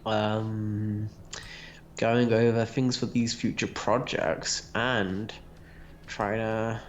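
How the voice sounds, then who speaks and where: Man, slow unhurried talk, laid-back and joking, casual stream chat, faint hiss. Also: lowish voice, flat delivery, muffled recording. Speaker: co-host and writer.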